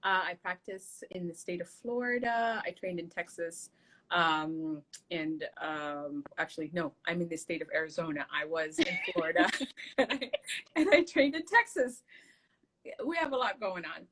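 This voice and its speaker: Low-voiced woman trauma surgeon. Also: moderate pace, a bit bright. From Somalia. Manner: earnest, reflective storyteller. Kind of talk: casual conversational interview.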